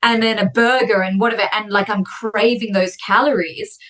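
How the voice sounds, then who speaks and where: A woman in her thirties, a podcast guest chatting in an animated, fast storytelling way. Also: mid-range voice. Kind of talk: casual conversation.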